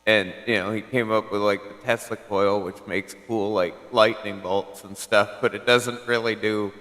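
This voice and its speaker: A man's voice: on the low side, fairly even intonation, normal speed, a bit bright, in a large hall, poor audio. A man chatting casually on a CB radio channel, rambling and filling his talk with "like" and "you know". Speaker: CB radio caller.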